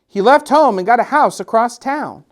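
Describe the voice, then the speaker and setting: A middle-aged man reads a picture book to children expressively, drawing out repeated phrases with gentle, dramatic emphasis. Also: very high-pitched, lively intonation. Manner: gentle, drawn-out, sing-song repetitions with dramatic emphasis. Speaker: storytime host and children's author.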